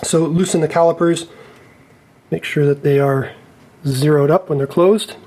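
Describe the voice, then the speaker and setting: Man, slightly higher-pitched voice, repair technician, casual tutorial delivery, methodical, careful, explanatory. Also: steady tone, unhurried, darkish tone. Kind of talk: casual step-by-step repair demonstration.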